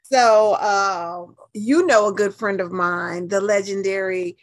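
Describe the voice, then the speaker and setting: A woman in her fifties with an American accent, telling stories conversationally in an animated, emphatic, colloquial way as an interview guest. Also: medium pitch, slow. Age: 50s. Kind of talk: conversational storytelling.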